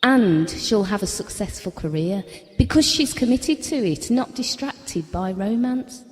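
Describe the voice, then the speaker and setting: Actress as stood-up single Englishwoman, forties, prickly and wry, expressive pitch, soft-edged tone, for radio play. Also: a little slow, close to an everyday voice. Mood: wry, playful. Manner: wry, guarded. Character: Laura, stood-up single woman of forty-five, prickly, self-deprecating. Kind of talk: naturalistic audio drama acting. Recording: long reverb on the voice.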